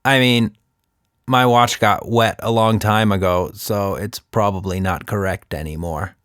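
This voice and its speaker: Man as a musing, absent-minded storybook companion, slow-paced. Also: on the low side, steady tone, fairly bright tone, clean tone, no echo, studio quality. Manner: musing, self-correcting.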